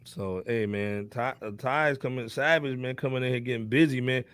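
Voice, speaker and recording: A man co-hosting a hip hop podcast in loose, animated casual talk, often tagging on "man" and "you know what I'm saying". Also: medium pitch, normal speed, smooth, no echo. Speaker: podcast co-host.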